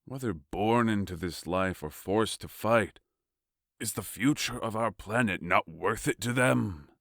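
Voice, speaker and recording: Man acting as a deep, cold warlord king. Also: vocal fry, a bit bright, dry recording, studio quality. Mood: cold, resolute. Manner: measured, authoritative, formal. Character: large, tall warlord king, cold, commanding, ruthless strategist. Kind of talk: audio fiction acting.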